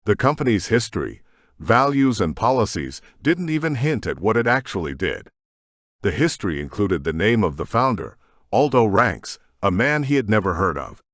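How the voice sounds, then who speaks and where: A man narrating a science fiction audiobook in a steady storytelling manner. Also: fairly low pitch, moderate pace, no echo, clean studio sound. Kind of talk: scripted narration.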